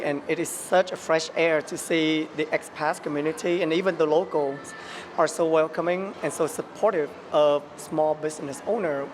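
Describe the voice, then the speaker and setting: Interview guest from Vietnam, noisy background. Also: medium pitch. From Vietnam, later United States. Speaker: interview guest, restaurant owner. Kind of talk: casual interview. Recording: noisy location with traffic ambience.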